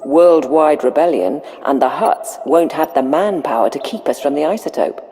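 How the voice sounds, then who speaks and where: Scheming aide, dark gravelly low voice, calm, businesslike, game dialogue. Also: female voice, moderate pace. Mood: cool, scheming. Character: cool, scheming female aide to a dark lord. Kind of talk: scripted video game dialogue.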